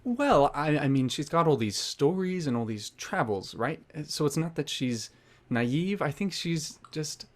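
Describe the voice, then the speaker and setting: A man's voice: a little high, sing-song, measured pace. An actor playing a young playwright who earnestly explains his intentions with hedging phrases. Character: defensive young male playwright. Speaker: writer acting in his own radio play. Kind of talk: audio drama acting.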